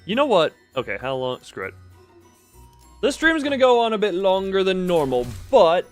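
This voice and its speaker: A man's voice: sits high, very animated, normal speed, soft background music. A man live-streaming a game, reading its dialogue aloud and stumbling now and then as he adds his own commentary. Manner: stumbles and self-corrects while reading aloud.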